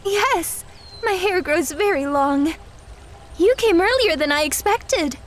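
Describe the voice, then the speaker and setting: A woman's voice: very high voice, lively intonation, takes it slowly, a little hiss or hum, exaggerated voice. A woman playing a polite, earnest and proud young female martial artist in an audio drama. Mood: welcoming.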